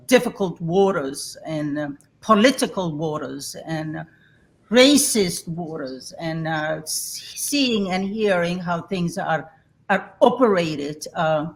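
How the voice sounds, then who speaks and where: A woman's voice: fairly low pitch, lively intonation, takes it slowly. A woman in her sixties from Somalia, a guest telling her story candidly and laughing easily. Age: 60s. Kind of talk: reflective interview conversation.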